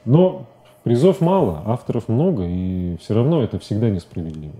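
A man in his forties talking as a guest in a studio interview, halting and repeating words as he searches for phrasing. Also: lowish voice, lively intonation, mellow. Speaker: interview guest, animation director. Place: TV studio at a desk. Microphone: lapel mic.

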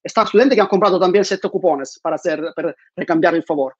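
A man's voice: high-pitched, fast, husky, no echo. A man, giving an online conference talk in a room at home on a lapel mic, with many fillers and repeated words. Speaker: conference speaker. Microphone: lapel mic on a video call. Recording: dull dry home video call.

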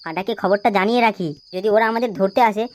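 Young cartoon troublemaker, played by a woman: cocky, bossy, rapid-fire, over faint night-insect noise. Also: mid-range voice, lightly acted. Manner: brash, bossy. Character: cocky, foul-mouthed young male village tough. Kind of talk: amateur comedy cartoon dub.

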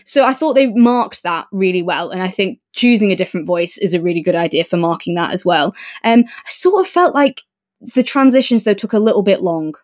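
A woman's voice: medium pitch, expressive, a little fast. Chatty woman thinking aloud. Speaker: podcast co-host reviewing audiobooks. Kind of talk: casual chat, book review discussion.

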